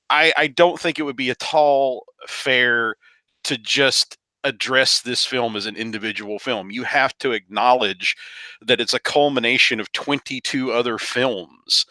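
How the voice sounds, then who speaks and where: A man's voice: medium pitch, bright, forceful tone, no echo, rough recording. A man on a podcast panel casually discussing films in a wry, jokey way, often repeating words as he builds a point.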